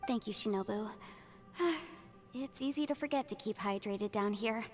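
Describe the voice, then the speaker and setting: A woman's voice: mid-range voice, moderate pace, faint music behind, lightly acted. A woman voicing a cheerful, earnest young scholar in a video game, keeping an optimistic outlook.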